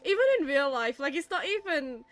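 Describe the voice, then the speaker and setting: A woman's voice: high voice, lively intonation, brisk, faint music behind. A woman with a British accent giving casual, chatty, wry commentary while playing a game. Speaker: psychologist streaming a game.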